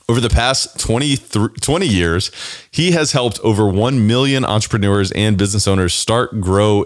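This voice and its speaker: Energetic low-voiced male podcast host. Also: dry recording, studio quality. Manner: energetic, motivational. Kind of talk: upbeat podcast interviewing.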